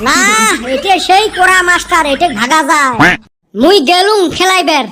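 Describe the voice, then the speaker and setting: Over a noisy track comes a scheming cartoon schoolboy, extremely high in pitch. He speaks with a northern Bengali accent and puts on a sly innocence as he teases. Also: moderate pace. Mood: smug. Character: cheeky scheming schoolboy, master of tall tales. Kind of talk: comic cartoon dialogue.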